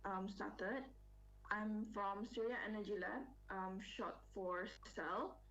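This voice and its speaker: A woman from Brunei gives a conference presentation in a measured voice with frequent "um"s. Her audio comes through muffled, as over a remote link, with a faint hum behind it. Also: medium pitch, a bit bright. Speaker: science center educator, presenter.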